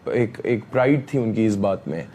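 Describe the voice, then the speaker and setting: A man, speaking emphatically as an interview guest, repeating himself and often pausing on fillers. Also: fairly low pitch, animated, dark tone, a little hiss or hum. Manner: emphatic, repetitive, frequent fillers. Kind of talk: heated interview talk.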